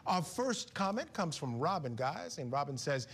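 Lively middle-aged male TV host. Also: a little high, normal speed, breathy edge. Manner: animated, conversational. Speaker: TV news host. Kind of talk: hosting a viewer comment segment. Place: TV studio. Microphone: lapel mic.

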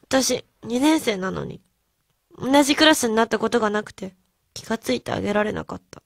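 A creaky-voiced, regretful schoolgirl. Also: fairly high pitch, expressive, brisk, no room sound, clean studio sound. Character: regretful second-year high school girl, soft-hearted. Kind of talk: radio drama acting.

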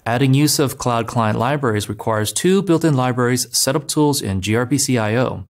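A man giving a scripted, step-by-step narration of a software migration tutorial, speaking clearly and steadily as a voice-over. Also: medium pitch, moderate pace. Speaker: developer advocate. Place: voice-over over screen recordings.

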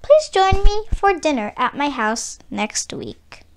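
A woman reads the part of a genteel storybook lady stork, high and sing-song. She is sweet and courteous, with quiet shrewdness beneath the good manners. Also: big pitch swings, takes it slowly, heavily acted. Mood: sweetly polite.

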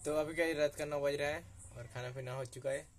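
A man's voice: fairly high pitch, steady tone, quick pace, soft background music. A young man in his twenties casually narrating a village daily vlog, filming himself on a phone held at arm's length in an outdoor courtyard. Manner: easygoing, chatty, points things out to viewers. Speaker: village vlogger. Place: village courtyard outdoors, rain, goats nearby. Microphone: phone selfie at arm's length. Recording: noisy outdoor phone recording.

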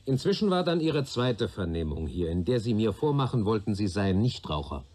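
A husky, shrewd police detective. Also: male voice, fairly low pitch, big pitch swings, darkish tone. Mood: stern, warning. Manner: probing, deliberate, sardonic, authoritative. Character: shrewd, patient male police detective questioning a young man. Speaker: police investigator. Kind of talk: German radio play dialogue.

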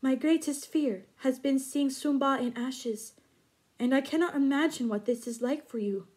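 A woman voicing a gentle, caring young female companion who speaks softly and a little higher than the narration, in a storybook reading. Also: moderate pace, lightly acted. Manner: soft, gentle.

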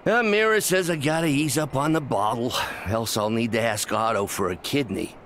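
An actor playing a grumbling, joking old fishmonger in a video game. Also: male voice, mid-range voice, normal speed, a bit bright, a little hiss or hum, close to an everyday voice. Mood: wry, grumbling. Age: older. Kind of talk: video game character dialogue.

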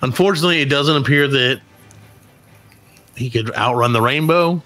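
A man's voice: mid-range voice, moderate pace, a bit bright, faint music behind. A middle-aged man co-hosting a comedy podcast over a video call, reading odd news stories aloud in a joking, self-mocking way. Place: dim room at home.

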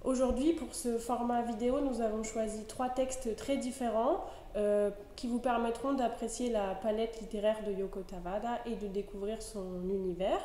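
Mellow-voiced young woman introducing a reading. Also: a little high, steady tone, moderate pace. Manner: hesitant, with restarts and fillers. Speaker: librarian. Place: high-ceilinged ornate room, seated at a table. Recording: echoey room with faint noise.

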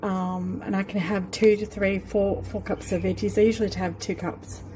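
A woman's voice: mid-range voice, level tone, moderate pace, mellow, a little hiss or hum, low-quality recording. A woman, chatting casually to a phone camera in her home kitchen, rambling with many fillers. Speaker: vlogger. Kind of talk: casual vlog talk. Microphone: handheld phone camera.

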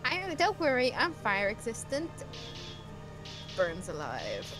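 High-pitched, animated playful woman. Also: slow, rough recording. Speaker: voice actor in a group game playthrough. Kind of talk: casual joking banter. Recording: music clearly behind the voice.

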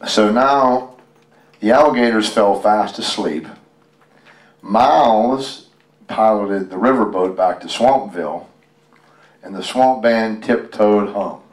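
A man with a fairly low voice reads a storybook aloud in a roomy, echoing space, picked up from a distance. He is casual and folksy, wandering off into chatty asides to his listeners. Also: slow pace, pauses often. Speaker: guest storyteller, tribute performer. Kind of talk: reading a picture book aloud with casual ad-libbed commentary. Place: public library reading event. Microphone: handheld microphone. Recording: roomy, echoey, distant microphone pickup.

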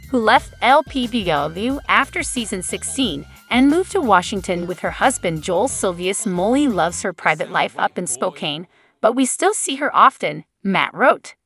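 A woman's voice: mid-range voice, fairly bright tone. A woman reading scripted celebrity gossip news as a voice-over, quotes read in her same narrating voice. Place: voice-over over photo slideshow.

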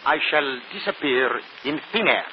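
An actor playing a self-assured, mysterious stage magician in a formal, theatrical 1940s radio style. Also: male voice, mid-range voice, expressive, moderate pace, a crowd in the background, lightly acted. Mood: proud, formal. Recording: muffled, faintly noisy old radio broadcast.

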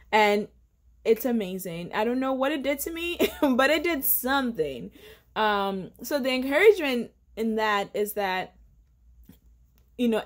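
A young woman, sharing a casual devotional encouragement to camera at home, earnest and chatty with many fillers. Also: mid-range voice. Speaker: faith vlogger. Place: indoor living room at home.

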